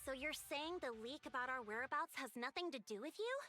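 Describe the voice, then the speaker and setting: High, sharp, feisty biker girl. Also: lively intonation, brisk, faint music behind. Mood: accusing, suspicious. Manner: confrontational, sarcastic. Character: feisty, suspicious young female biker gang member.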